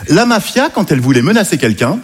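A man performing conversational stand-up comedy to an audience, animated, with hesitant asides and tag questions. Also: a little high, fast, slightly rough.

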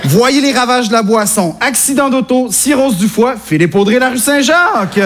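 A man's voice: very high voice, lively intonation, moderate pace, background noise. A young Québécois man doing a self-mocking stand-up comedy set on stage, chatty and full of hesitations. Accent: Québécois French. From Quebec, Canada. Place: stage before a live audience. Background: audience laughter.

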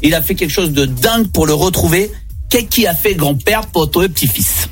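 A man hosting a playful radio show from a studio, full of teasing and quick laughter. His voice sits high for a man, with soft music faintly underneath. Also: expressive. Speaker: radio host.

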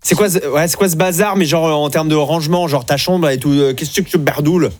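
Fast-talking upbeat male radio host. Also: fairly high pitch, no echo. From southwest France (Landes). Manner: energetic, fast, playful banter with callers. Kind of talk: upbeat evening radio show hosting. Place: radio studio.

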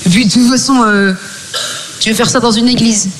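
A woman's voice: on the high side, steady tone, moderate pace, noisy background. A woman from Belgium doing stand-up on stage, firing back sassy, laughing retorts. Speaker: stand-up comedian. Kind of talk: stand-up comedy banter on stage. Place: live show before a loud audience. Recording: live stage mic over a loud crowd.

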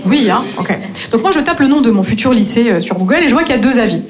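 A woman's voice: a little high, animated, quick pace. A woman in her thirties from northeastern France delivering a chatty, self-mocking stand-up monologue on stage, with frequent questioning tags to her listeners. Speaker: stand-up comedian. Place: live stage before an audience. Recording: live room with audible audience. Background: audience.

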